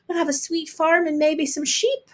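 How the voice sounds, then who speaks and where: A woman's voice: high voice, normal speed, pitched above the performer's own voice, lightly acted. A woman voicing a jolly Irish immigrant father in a storybook, a theatrical storyteller who draws out his words. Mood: excited, jolly.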